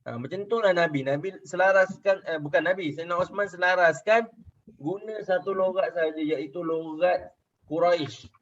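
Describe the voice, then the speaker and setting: A young man with a Malaysian Malay accent leads a children's class over a video call, his voice sitting a little higher than most men's. He talks patiently, repeats his questions and checks often that the pupils follow. The audio comes through dull and muffled. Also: normal speed. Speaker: religious teacher. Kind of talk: online class teaching children.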